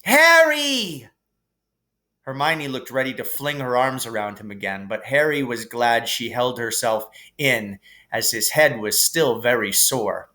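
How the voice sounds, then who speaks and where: A man's voice: mid-range voice, normal speed, pushed and bright. A man reading a children's novel aloud in scripted storybook narration. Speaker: reader. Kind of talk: audiobook-style storybook narration.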